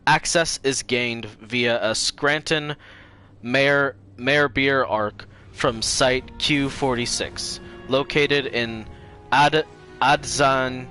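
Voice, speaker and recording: Bright-voiced man streaming, faint music behind. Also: mid-range voice. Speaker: livestream host playing games and reading aloud. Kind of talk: casual stream chat and dramatic reading.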